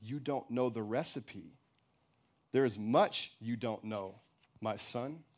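A man voicing a gentle, wise and sorrowful mother in a story, speaking quietly, tenderly and calmly. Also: mid-range voice, lightly acted. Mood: gentle, firm. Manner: tender, quiet, calm, unhurried.

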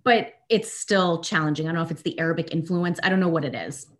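Chatty woman with a lowish voice. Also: quick pace. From Germany and Italy. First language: Italian. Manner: chatty, animated, self-deprecating, repeats words when restarting. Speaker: podcast guest. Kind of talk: casual interview chat.

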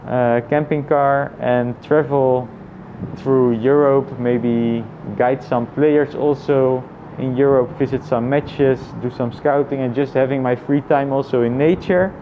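A man thinking aloud in a casual, reflective personal vlog, with halting phrasing and frequent repetition. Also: mid-range voice, fairly even intonation, narrow, tinny audio, a little hiss or hum. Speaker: vlogger.

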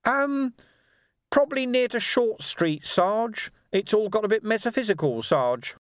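A man voicing a scruffy, cheeky street urchin boy in an audiobook, with a glutinous voice and halting, slangy working-class talk. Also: high voice, expressive, smooth, no echo, studio quality, pitched above the performer's own voice. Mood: evasive.

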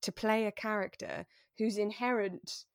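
A British woman chats as a podcast co-host in an informal, enthusiastic way. Her pitch sits in the middle of the female range, and the sound is dry and close, with little room around it. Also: fairly even intonation, a little slow, no room sound. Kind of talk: casual chat discussing a radio drama.

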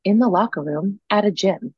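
A woman's voice: medium pitch, moderate pace, husky, no room sound. A young woman co-hosting a podcast, chatting casually with a scratchy voice worn from teaching, laughing often and saying "like" a lot. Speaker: podcast co-host, spin instructor. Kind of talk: casual chat. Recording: dull lo-fi sound.

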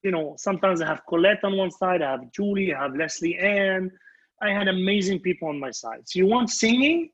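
A man with a notably high, rough-edged husky voice, a native Arabic speaker. He tells anecdotes from his singing career with emphasis, repeating phrases for effect and putting himself down. The sound is somewhat muffled and lo-fi. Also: lively intonation, a little fast, fairly bright tone. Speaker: band leader and singer recounting his career. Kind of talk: animated anecdotal storytelling in conversation.

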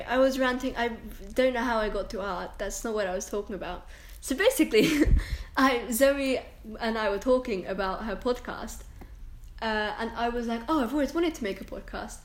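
A young woman talks into her camera in a casual solo rant, her voice strong, confident and a little high. She rambles and restarts, with a "like" every few words. The room around her rings with echo. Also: slight background noise. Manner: rambling, chatty, self-interrupting with likes and restarts. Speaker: podcast host. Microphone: camera and microphone, recording herself.

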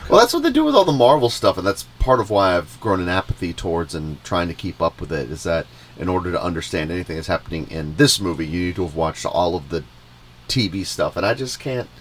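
Male co-host, loud voice, low-pitched, slight background hiss. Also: quick pace. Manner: loud, gets louder when excited. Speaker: podcast co-host. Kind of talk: casual chat.

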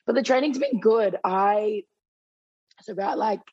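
A woman's voice: mid-range voice, measured pace, breathy edge, lo-fi sound. A young woman in her twenties, a guest in an interview, talking casually and saying "like" constantly while she is losing her voice. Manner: chatty, heavy use of "like". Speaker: guest runner.

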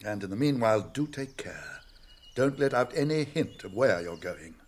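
An actor playing a stern, shrewd old wizard with a British accent and a gruff, husky voice in a radio drama. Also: male voice, fairly low pitch, sing-song, a little hiss or hum. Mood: grave, urgent. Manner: authoritative, deliberate, brisk questioning.

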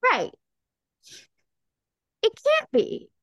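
A woman's voice: extremely slow, a bit bright. A woman co-hosting a podcast, chatting casually about books in an informal, slangy way. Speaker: podcast co-host. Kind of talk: casual book chat.

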